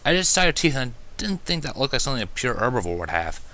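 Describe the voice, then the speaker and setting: A man's voice: mid-range voice, sing-song, quick pace, pushed and bright. A man voicing a curious, polite, apologetic pony who speaks hesitantly in a fan fiction reading.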